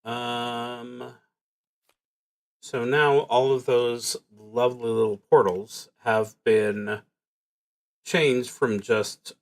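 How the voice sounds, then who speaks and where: A man casually narrating his solo tabletop roleplaying game, haltingly thinking aloud and muttering to himself as he goes. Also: medium pitch.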